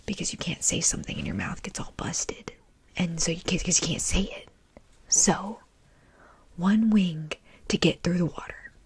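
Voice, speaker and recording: Breathy whispering woman doing playful ASMR. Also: lowish voice. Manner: playful, rambling, repeats words rhythmically. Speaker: ASMR creator. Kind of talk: ASMR whispering, deliberately silly wrong explanations.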